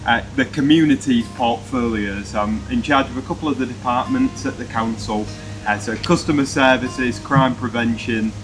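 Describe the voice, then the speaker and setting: A man who is a councillor gives a polite, orderly introduction. His voice sits at a middle pitch. Loud music plays behind him. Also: normal speed, poor audio. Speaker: city councillor. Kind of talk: formal self-introduction.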